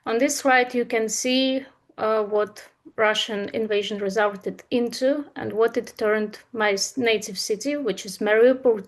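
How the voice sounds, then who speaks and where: Ukrainian-accented woman giving a talk. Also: mid-range voice. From Eastern Ukraine. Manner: earnest, with frequent uh and um pauses. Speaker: speaker presenting a talk. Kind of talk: presentation to an online audience.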